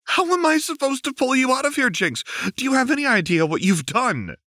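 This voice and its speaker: An exasperated woman mage, as a male narrator plays her, with the pitch lifted above a man's normal talk. She is tight, terse and scolding, recorded dry for an audiobook. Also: very animated, brisk, sharp tone, dry recording, exaggerated voice. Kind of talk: audiobook character voice.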